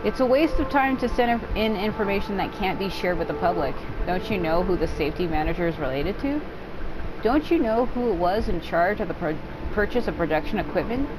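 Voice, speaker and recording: A woman's voice: medium pitch, expressive, brisk, noisy background. A woman reading game story text aloud, stumbling over words now and then and adding her own asides. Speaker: gaming YouTuber.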